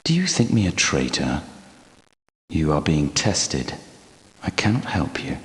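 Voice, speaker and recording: An actor playing a proud, sorrowful, devout guardian knight in a video game, with grave delivery and formal, archaic diction. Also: male voice, extremely low pitch, wildly swooping pitch, a little slow, full character voice. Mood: grave, ashamed. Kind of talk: dramatic video game character acting. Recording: long cavernous hall reverb.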